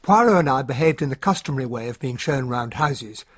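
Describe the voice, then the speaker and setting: An actor playing a genteel English gentleman, a detective's companion, narrating a radio drama in a well-spoken, measured way. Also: medium pitch, lively intonation, slight background noise, natural voice. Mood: genial, wry.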